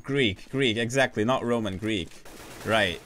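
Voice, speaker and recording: Bright-voiced solo esports caster, chatty. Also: male voice, on the low side, a little hiss or hum. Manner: rapid, chatty, self-interrupting, jokes with chat. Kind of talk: solo live game commentary.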